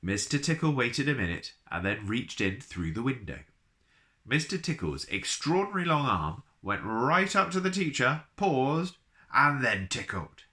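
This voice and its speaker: A man reading a children's storybook aloud in an expressive, playful way, with chatty asides to the listener. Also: mid-range voice, a little slow. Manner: playful, addresses listeners directly.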